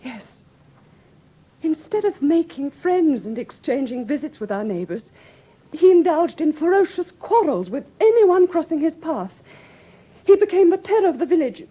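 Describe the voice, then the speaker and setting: A woman with an English received pronunciation accent playing a frightened, grieving young gentlewoman who speaks formally and earnestly in a classic radio drama. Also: sits high, very animated, normal speed, low-quality recording. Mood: grave, troubled. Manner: formal, earnest, precise storytelling.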